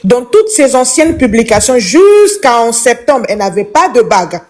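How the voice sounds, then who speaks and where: A woman's voice: on the high side, very animated, moderate pace, darkish tone. A woman from Congo, calling into a live chat and giving an impassioned, preachy opinion that repeats its points.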